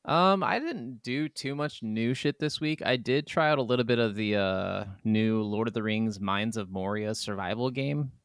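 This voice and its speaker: A man's voice: fairly low pitch, moderate pace, few pauses, clear, resonant tone, no room sound, clean studio sound. A man co-hosting a gaming podcast, chatting in an easygoing, slangy way. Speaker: podcast co-host. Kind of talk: casual gaming podcast chat.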